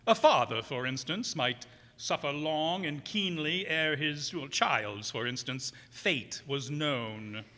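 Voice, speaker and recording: An actor playing a brooding, tormented sea captain in a radio drama, speaking archaically and theatrically. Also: male voice, on the high side, takes it slowly, hardly any pauses, pushed and bright. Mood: dark, musing. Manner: archaic, dramatic delivery with thee and thou. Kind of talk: old-time radio drama acting. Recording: echoey hall with long reverb.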